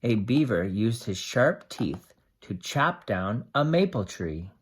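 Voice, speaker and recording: A teacher reading a picture book aloud to young children, explaining things simply with expressive asides. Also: mid-range voice, takes it slowly, slightly rough.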